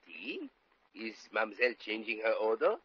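An actor playing a polite waiter in a 1950 radio comedy. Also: male voice, fairly low pitch, very animated, normal speed, breathy edge, heavily acted. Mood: polite, puzzled. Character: polite French-speaking waiter, mildly puzzled. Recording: noisy, muffled vintage radio recording.

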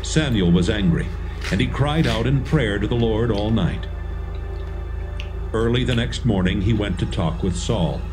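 A man's voice: fairly low pitch, lively intonation, a little slow. A man narrating a dramatised Bible story as scripted storytelling. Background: music.

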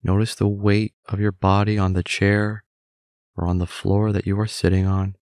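A man calmly leading a scripted guided meditation in a measured, gently instructive manner. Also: fairly low pitch, no echo, clean studio sound.

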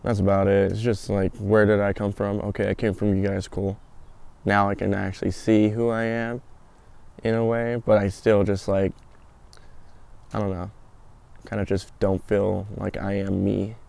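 A man's voice: lowish voice, little pitch movement, moderate pace, gravelly, darkish tone. A man in his early twenties casually telling his own story in an outdoor interview. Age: early 20s. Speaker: documentary interviewee. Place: outdoors in a garden.

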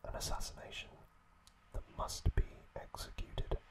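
A man whispering softly as an ASMR host, recapping episodes in a halting way with many pauses. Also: poor audio. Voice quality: soft unvoiced whisper.

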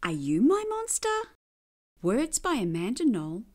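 A woman reading a children's picture book aloud in an expressive, deliberate and emphatic storytelling manner. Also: mid-range voice, a little hiss or hum.

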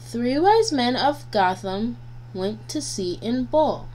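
A woman reading nursery rhymes aloud for children as a guest reader, in a deliberate, sing-song way. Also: fairly high pitch, expressive, a little mellow. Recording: noisy home recording with hum.

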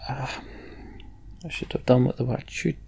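A man hosting a let's play, muttering casual commentary as he thinks aloud, with mild swearing and a gravelly voice. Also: mid-range voice, steady tone, moderate pace, warm tone, in a whisper. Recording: game music behind voice. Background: game music.

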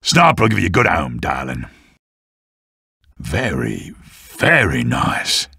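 An actor playing a brash, gruff Australian sniper in a video game, with a gravelly, raspy voice and cocky slang-heavy delivery. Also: male voice, a bass voice, huge pitch swings, slow, bright, forceful tone, no room sound, studio quality, full character voice. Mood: pleased, savouring. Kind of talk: video game character voice lines.

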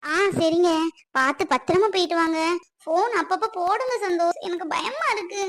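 A woman's voice: extremely high pitch, moderate pace, a bit bright. A young adult woman playing a frightened young woman hiding in a rented room, with a timid, pleading way of talking. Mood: fearful, pleading. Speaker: young woman who ran away from home with her partner.

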